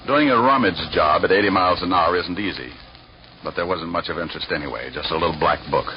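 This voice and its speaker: An actor playing a hard-boiled private detective, dry, sardonic and slangy, in 1940s radio noir style. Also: male voice, sits low, fast, a little hiss or hum, natural voice. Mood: wry, narrating. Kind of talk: first-person noir narration, 1940s radio acting. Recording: muffled vintage radio recording with music.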